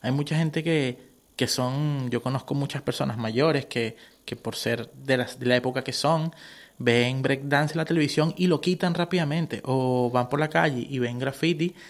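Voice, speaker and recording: A man with a mid-range voice speaks casually as a guest in a radio studio. He talks in a relaxed, conversational way, often pausing to check that his listener follows. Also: mid-range voice. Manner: casual, keeps asking "you know?". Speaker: guest artist, designer and tattoo artist.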